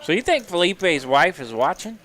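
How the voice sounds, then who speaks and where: A man's voice: high voice, normal speed. A man doing color commentary on a live poker final table from a booth, playful and joking. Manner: playful, joking, excitable on big hands.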